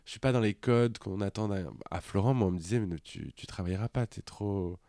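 A man in his thirties co-hosting a podcast, a drag performer who talks in a lively, chatty way. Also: on the low side, dry recording, studio quality. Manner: lively and talkative, quick to laugh. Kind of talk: casual podcast chat.

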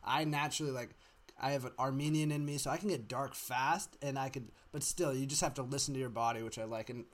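Man, podcast host, relaxed conversation, frequent fillers, mid pitch. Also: quick pace. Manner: casual, filler-heavy talk with lots of "like". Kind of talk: casual conversation.

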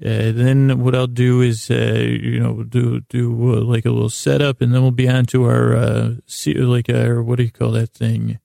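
A male podcast host with a heavily creaky, gravelly voice and a flat, narrow melody. He wanders through a sleep-podcast monologue, halting and doubling back in a self-deprecating way. The recording is close and dry. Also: medium pitch, no echo. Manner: meandering, halting, self-deprecating, often losing his thread.

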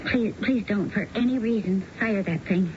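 A woman's voice: medium pitch, moderate pace, natural voice. A woman playing a young tomboyish female accomplice in a 1970s radio drama. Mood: anxious. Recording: dull, noisy old radio broadcast recording.

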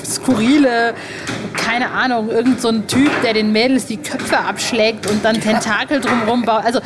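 Middle-aged woman interviewer, slightly high voice, noisy. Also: fairly even intonation, normal speed. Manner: enthusiastic, slangy. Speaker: podcast host, interviewer. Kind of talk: casual, informal interview chat. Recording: clearly noisy background.

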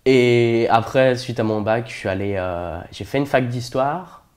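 A man's voice: medium pitch, a little slow, a little mellow. Young Frenchman, jokey and self-deprecating. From France. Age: 20s. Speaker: interview guest. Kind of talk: casual conversational interview.

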